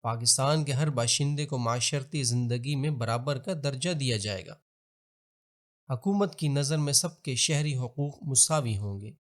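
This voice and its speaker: This is a male documentary narrator with a Pakistani accent and a mid-pitched voice. He reads from a script in a formal, measured way. His delivery is expository, suited to historical narration.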